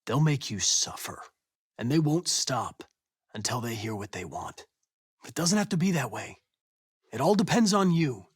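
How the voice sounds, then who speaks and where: A man's voice: medium pitch, very animated, normal speed, pauses often, husky. An American man playing a polite, analytical young android detective in a video game, earnest and determined, with a precise, formal delivery. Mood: grave, subdued.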